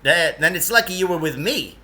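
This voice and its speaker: A man's voice: a little high, lively intonation, a little fast, sharp tone, pitched above the performer's own voice. A man voicing a pompous, jittery eccentric guide in a storybook, with emphatic, florid delivery. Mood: ominous, pompous. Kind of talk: storybook character voice.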